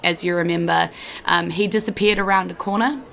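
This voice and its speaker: A woman's voice: lowish voice, fairly even intonation, a little fast, creaky, fairly bright tone. A woman with a New Zealand accent, narrating a tabletop game as dungeon master in a chatty way, with frequent laughs and filler words. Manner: chatty, laughs often, many ums.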